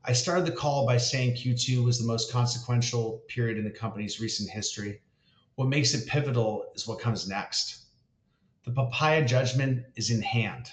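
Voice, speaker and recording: Male founder-CEO for scripted investor call, formal and measured, mid-pitched, echoey room sound. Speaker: company founder and chief executive. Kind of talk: scripted earnings call remarks.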